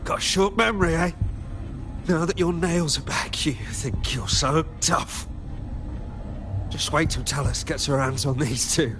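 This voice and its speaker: An actor playing a rough, cocky gang thug in a video game. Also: male voice, fairly high pitch, a little slow, fairly bright tone, faint music behind. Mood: sneering, menacing. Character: rough cocky male gang thug, sneering then cowed.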